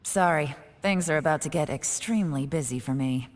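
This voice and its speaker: An actress playing a composed female spy who calmly confronts and lectures a culprit. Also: sits low, a little fast, natural voice. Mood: brisk, reassuring. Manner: calm, cutting, composed accusation. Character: cool, sharp-witted female spy interrogator. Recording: game audio with reverb and music.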